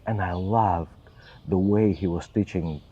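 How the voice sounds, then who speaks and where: Russian-accented man, earnest and low. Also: unhurried, husky, highs cut off. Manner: earnest, self-deprecating, careful English. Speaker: church assistant and pastor. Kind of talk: interview answers.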